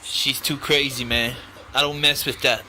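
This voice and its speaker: A man's voice: medium pitch, level tone, normal speed. A man bantering casually as a father, in a playful, mock-incredulous teasing way, recorded at home. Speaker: father playing along with child's puppet show. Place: indoors at home. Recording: noisy amateur home video.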